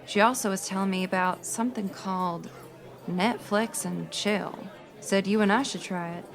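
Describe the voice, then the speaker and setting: A woman's voice: mid-range voice, normal speed, close to an everyday voice. Actress as worldly, feisty saloon dance hall girl. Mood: consoling. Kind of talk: radio western parody acting. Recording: music and crowd chatter behind. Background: saloon music and crowd.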